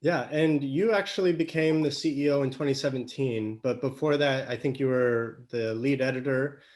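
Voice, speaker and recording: A man's voice: medium pitch, steady tone, normal speed, darkish tone. A man hosting a podcast, asking questions in a conversational interview style. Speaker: podcast host.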